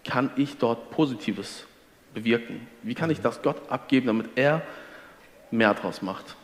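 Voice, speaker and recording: Man from Ostwestfalen, middle pitch, earnest teacher type, reverberant room. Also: measured pace. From Ostwestfalen, Germany. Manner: earnest, teacherly, quotes scripture. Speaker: guest. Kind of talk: faith conversation.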